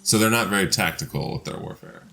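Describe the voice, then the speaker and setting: A man with a fairly low, very lively voice plays a tabletop game. His talk is casual banter among players. Faint music runs behind him. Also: fast talker, vocal fry. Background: faint background music.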